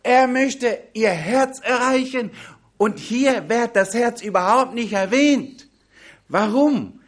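A man preaching a sermon on a Bible passage in a language that is not his first, with slow, emphatic delivery that drops into quiet asides. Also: very high-pitched. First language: not German.

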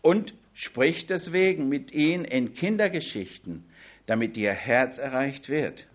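A man preaching a sermon on a Bible passage in a language that is not his first, with slow, emphatic delivery that drops into quiet asides. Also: medium pitch, expressive. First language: not German.

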